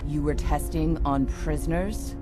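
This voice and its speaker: An actress playing a blunt, commanding marine sergeant in a dramatic motion comic. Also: female voice, low-pitched, fairly even intonation, a little slow, over music. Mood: stern, accusing.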